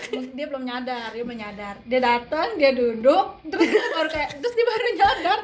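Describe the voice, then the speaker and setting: A woman's voice: high voice, lively intonation, fairly quick. A young woman chats informally as a student radio co-host, speaking straight to listeners and repeating her phrases. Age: young adult. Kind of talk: casual chat. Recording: clear background noise, room ambience.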